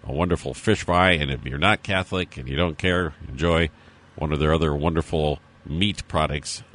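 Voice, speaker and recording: A hoarse American man hosting a casual news talk radio show. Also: very deep voice, moderate pace, a bit bright. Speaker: radio host.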